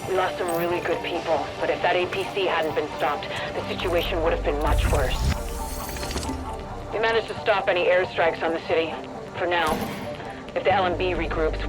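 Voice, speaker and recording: A woman's voice: lowish voice, steady tone, normal speed, lightly acted, rough recording. A woman playing a resolute, weary agent in a video game drama, speaking earnestly. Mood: grave resolve. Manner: earnest, determined. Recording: music behind the voice.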